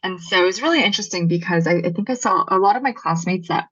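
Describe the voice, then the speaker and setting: A woman's voice: on the low side, fast, fairly bright tone, slightly rough, faint music behind. A young woman, a podcast guest who tells stories in a casual way, with many ums and likes. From Los Angeles, USA. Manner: storytelling, frequent ums and likes, laughs easily. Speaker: podcast guest, brand founder. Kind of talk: casual interview chat. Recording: dull muffled podcast audio.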